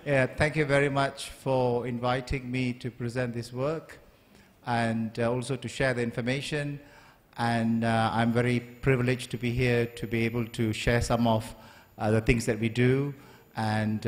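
A man's voice: medium pitch, level tone. Polite Malaysian-born man lecturing in a hall. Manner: polite, measured, frequent ums and uhs. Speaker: guest lecturer. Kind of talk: university lecture. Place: large lecture hall, standing at a lectern. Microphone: stand microphone. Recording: long hall reverb.

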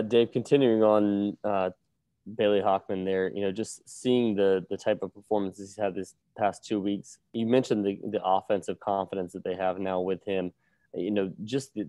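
A man's voice: on the low side, warm tone, dry recording. A young man, a sports reporter asking questions at a press conference over a video call.

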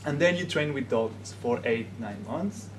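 A young man from Peru with a Peruvian Spanish accent, talking to a class in a halting way with frequent pauses. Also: medium pitch. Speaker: guest speaker. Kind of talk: informal talk to a class. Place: classroom, indoors. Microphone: camera in the room. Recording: classroom recording with steady noise.

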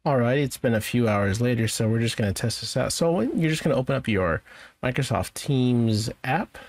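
A man presenting a software tutorial in a casual, informal way, thinking aloud as he goes. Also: mid-range voice, expressive, a little fast, no echo. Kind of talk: casual screen-share software walkthrough.

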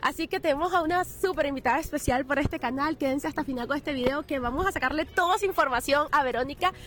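A young woman hosts an outdoor interview in a park, holding a handheld mic. Her voice is very high and her manner bright and chatty. Faint music sits under her. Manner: upbeat, chatty, many quick backchannels. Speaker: host. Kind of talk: vlog interview.

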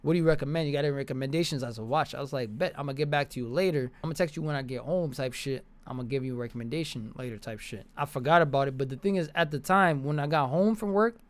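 A man's voice: mid-range voice, fast talker, few pauses. A young American man telling a casual story to his stream chat, slangy and profane, repeating phrases for emphasis, close to a desk mic. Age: young adult. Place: bedroom or basement room, indoors. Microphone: desk microphone close to the mouth, with headset.

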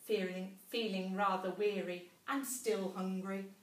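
A woman's voice: medium pitch, steady tone, unhurried, a little hiss or hum. A woman, a teacher reading a storybook expressively to young children. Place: small classroom, indoors. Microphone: camera a few metres away. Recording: roomy classroom, distant camera mic.

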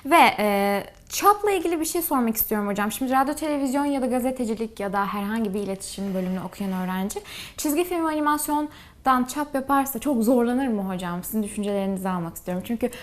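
A woman's voice: a little high, lively intonation, fast. A woman hosting a broadcast interview in a conversational, deferential and agreeable way. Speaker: programme host, interviewer.

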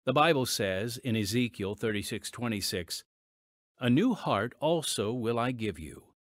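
An American man hosting a podcast with polished, theatrical scripted narration, speaking directly to the listener as a friend. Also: medium pitch. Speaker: podcast host and announcer.

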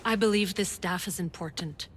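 An actress playing a sceptical, exacting middle-aged doctor in a video game, dry and precise. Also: female voice, lowish voice, moderate pace, a bit bright, a little hiss or hum, close to an everyday voice. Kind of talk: video game cutscene acting.

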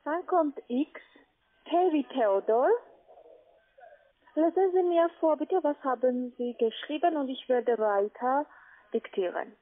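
A woman's voice: high-pitched, takes it slowly, long pauses, phone-quality sound. A woman with a foreign accent in German, a call-center agent giving insistent step-by-step instructions over the phone. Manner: insistent, repeatedly checking with tag questions.

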